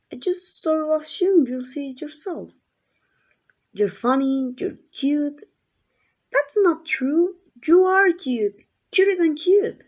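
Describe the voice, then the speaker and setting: Girl doing asmr yandere kidnapper lady, kinda high voice, super clingy and affectionate, coaxing her captive. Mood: gushing affection. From Mexico. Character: doting, obsessive yandere woman kidnapper comforting captive. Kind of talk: ASMR roleplay acting.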